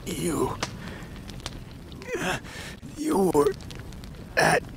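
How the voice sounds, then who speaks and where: A man's voice: fairly high pitch, huge pitch swings, extremely slow, vocal fry, noisy background, full character voice. An actor playing a badly wounded male mercenary in a video game, weak and pleading, his voice strained with pain. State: in pain, weakened. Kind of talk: dramatic video game acting.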